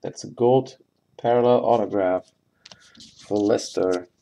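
A man giving casual, halting live commentary as he hosts a sports card box break, matter-of-fact in manner. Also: lowish voice, steady tone, gravelly, mellow, poor audio. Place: indoors at a tabletop.

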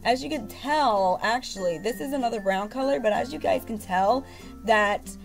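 A woman's voice: on the high side, normal speed, vocal fry, faint music behind, low-quality recording. A young woman in her twenties, congested from a cold, rambling casually with many fillers as she talks to her webcam at home. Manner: rambling, lots of fillers and restarts. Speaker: beauty vlogger. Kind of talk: casual haul vlog to camera. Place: bedroom at home, indoors. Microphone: webcam at arm's length.

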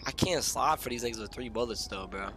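A man's voice: medium pitch, brisk, fairly bright tone, slight background noise. An American man talking casually as a game streamer, slangy and swearing heavily, mixing roleplay with asides to his chat.

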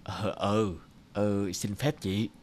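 A man's voice: on the low side, steady tone, slow, a little mellow. A man voicing a courteous, kindly middle-aged company director in a cartoon. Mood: reassuring. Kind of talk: animated cartoon dubbing.

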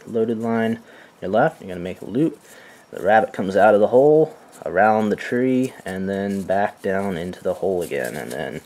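A man with a deep, low voice gives a relaxed, step-by-step knot-tying lesson. He talks at an unhurried pace, with soft fillers here and there. He is recorded indoors by a camera mic above his hands. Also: slight background noise. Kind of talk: step-by-step demonstration. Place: indoors, filming hands over a carpeted floor.

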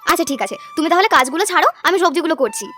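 Cartoon village wife, played by a woman, very high voice, practical and caring, rapid homely chatter over music. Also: lively intonation, sharp tone, exaggerated voice. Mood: busy, friendly. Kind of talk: cartoon voice acting.

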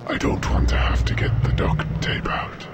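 A man voicing a threatening panther king in a video game, speaking in a hushed, menacing way. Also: normal speed, very noisy, close to an everyday voice.

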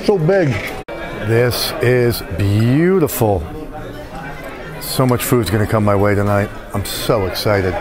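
A man's voice: on the low side, takes it slowly, a crowd in the background. A man in his fifties filming himself eating at a restaurant, chatting and joking about each dish. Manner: enthusiastic, jokey commentary while eating. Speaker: food vlogger. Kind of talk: casual dining vlog. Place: fine-dining restaurant indoors. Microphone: phone at arm's length.

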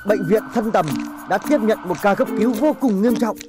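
A woman narrator delivers scripted mock news. Her voice sits at a middle pitch, with clear music behind it. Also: brisk.

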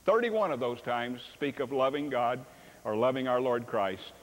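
Older pastor, animated stage preacher, long reverb of a large church. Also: male voice, mid-range voice, moderate pace. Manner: animated, acts out dialogue with sweeping gestures. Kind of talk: sermon.

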